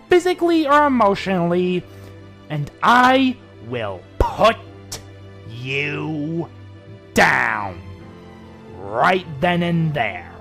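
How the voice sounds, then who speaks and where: Casting: amateur reader as male pony, protective older brother, tearfully furious and menacing, highly animated delivery, music underneath. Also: creaky, heavily acted. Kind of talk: amateur dramatic fan fiction reading.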